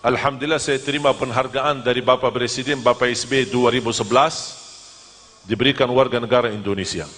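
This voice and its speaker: Preacher in a reverberant hall. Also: male voice, medium pitch, steady tone, brisk, a bit bright. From Medina, Saudi Arabia. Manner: humorous, engaging, asks the audience questions. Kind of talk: sermon to a live audience.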